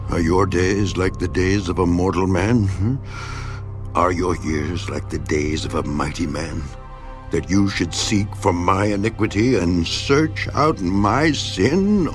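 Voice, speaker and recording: A despairing bible sufferer, slow low voice, swings from hushed to wailing at god, music behind. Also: male voice, heavily acted. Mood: anguished outcry. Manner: theatrical, swinging from hushed to crying out. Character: anguished, despairing man pleading bitterly with God. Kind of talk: dramatised scripture reading.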